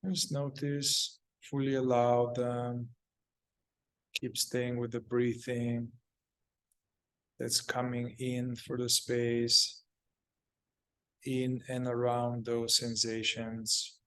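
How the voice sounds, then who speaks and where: A man leading a guided meditation, speaking calmly in short, halting phrases with long pauses. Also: mid-range voice.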